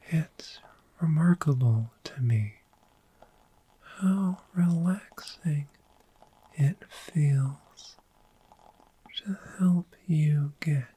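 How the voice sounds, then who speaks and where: Very slow whispering gentle dragon played by a woman. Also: low voice, heavily acted, poor audio. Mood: gentle, soothing. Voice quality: breathy whisper. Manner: slow, soothing, lingering repetition of words. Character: friendly, gentle, sleep-loving dragon hoarding cozy bedding. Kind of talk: soft-spoken ASMR roleplay.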